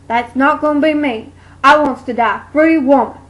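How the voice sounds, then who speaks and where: A woman's voice: sits high, normal speed, close to an everyday voice. A woman playing a weary enslaved Southern woman of the Civil War who longs for freedom, in an amateur puppet show monologue. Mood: longing, resolute.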